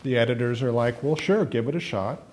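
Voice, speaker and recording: A man's voice: mid-range voice, brisk, a little mellow. An American man in his sixties telling stories conversationally in a documentary interview. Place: indoor room with staircase, seated. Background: music.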